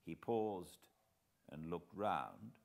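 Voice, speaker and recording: A middle-aged man with a British accent, an audiobook narrator giving an expressive fireside reading. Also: sits low, very slow, gravelly. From Britain. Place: living room beside a fireplace.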